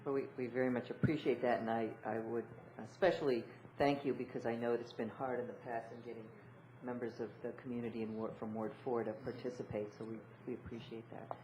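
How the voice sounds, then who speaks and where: An American man chairing a formal public meeting, speaking in a hesitant, halting way. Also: medium pitch, little pitch movement, a little mellow, dull audio. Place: indoor council meeting room. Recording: roomy, clearly noisy meeting room.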